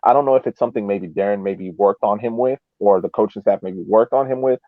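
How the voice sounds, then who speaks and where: A man's voice: on the low side, quick pace, no echo. Man on a thin phone-like line. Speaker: soccer podcast panelist. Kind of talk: casual sports discussion. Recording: phone-like, band-limited call audio.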